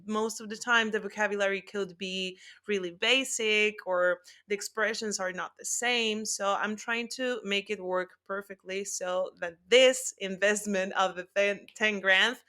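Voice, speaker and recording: A young woman with a Mexican Spanish accent, a native Spanish speaker, chatting as an interview guest, animated and laughing often. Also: medium pitch, measured pace, few pauses. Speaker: guest actress. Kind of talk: casual interview chat.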